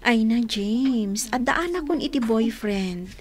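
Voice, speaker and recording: A woman's voice: a little high, animated, takes it slowly. A woman with a Filipino accent playing a loyal, wistful young office worker in a radio drama. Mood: reluctant.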